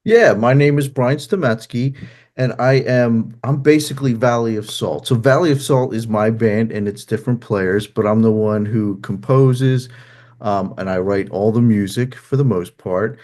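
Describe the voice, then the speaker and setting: A man's voice: medium pitch, moderate pace, darkish tone. A middle-aged man with a New Jersey accent talking casually as an interview guest, somewhat hesitant and rambling, repeating himself as he goes. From New Jersey, United States. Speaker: musician and songwriter, interview guest.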